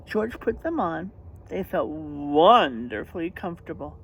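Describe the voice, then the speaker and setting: Expressive woman reading slowly to children, lively pitch. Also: husky. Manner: expressive, playful, drawn-out emphasis. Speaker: read-aloud storyteller. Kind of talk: animated picture-book read-aloud for young children, with asides.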